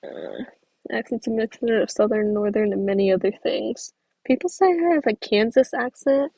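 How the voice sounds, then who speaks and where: A woman's voice: a little high, normal speed, creaky, warm tone, husky, no echo, rough recording. A young woman from the American South, chatting casually on a livestream while reading out viewer comments. She rambles in a friendly way, uses many fillers and laughs easily. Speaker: stop-motion creator streaming.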